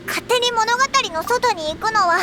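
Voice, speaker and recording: A woman voicing a small, childlike fairy companion with a squeaky, excitable delivery in an anime game style. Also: very noisy. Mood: worried, puzzled. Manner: excitable, rapid-fire. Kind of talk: Japanese anime game acting.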